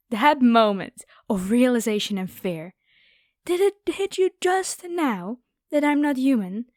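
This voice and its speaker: A woman's voice: a little high, darkish tone, no echo, studio quality. A woman playing a haughty, predatory noble vampire girl in an ASMR roleplay, with a taunting, playful, theatrical delivery.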